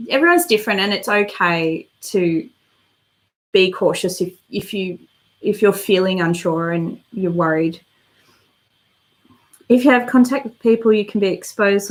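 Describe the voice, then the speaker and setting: A woman's voice: medium pitch, fairly bright tone. A woman hosting a casual live stream and answering viewer comments, chatty and meandering as she thinks aloud, slightly congested with a worn voice.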